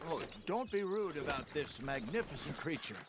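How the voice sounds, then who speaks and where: Jovial American Western outlaw, folksy and teasing, high voice with big swings, horse sounds loud behind. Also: male voice, big pitch swings, moderate pace, heavy background noise. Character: jovial, confident middle-aged male Old West outlaw. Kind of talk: naturalistic video game cutscene acting. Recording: clear outdoor game sound effects, horse hooves.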